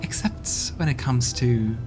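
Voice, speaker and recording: A man's voice: mid-range voice, a little slow, in a whisper, natural voice. A man voicing a curious, playful female demon in a game playthrough, with music in the background.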